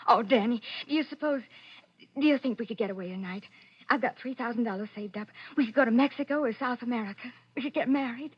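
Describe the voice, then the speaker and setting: A fugitive's devoted sweetheart in vintage radio melodrama, urgent and sharp-tongued. Her voice is high and breathy, caught on a muffled old recording. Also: fast. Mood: loving, excited. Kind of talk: 1940s melodramatic radio acting.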